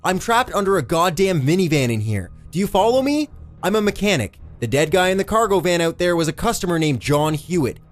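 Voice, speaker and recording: A man's voice: mid-range voice, very animated, moderate pace, close to an everyday voice. A man voicing an injured, trapped mechanic in an audiobook, desperate, foul-mouthed and strained. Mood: exasperated, urgent. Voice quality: croaking, strained. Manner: shouting, pleading, exasperated. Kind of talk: dramatised audiobook character.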